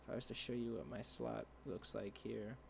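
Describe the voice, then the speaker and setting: Halting young man with a gravelly, dry voice. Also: fairly low pitch, background noise. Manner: rambling, halting with many fillers and restarts. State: dry voice, clearing throat. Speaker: tutorial creator. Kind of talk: screen-share coding tutorial.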